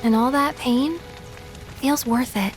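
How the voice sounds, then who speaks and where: A woman's voice: high voice, over music. An actress playing an earnest, tender young woman speaking reflectively in a video game cutscene. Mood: bittersweet, heartfelt. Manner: tender, reflective, unhurried phrases. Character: gentle, earnest young woman, bittersweet and sincere.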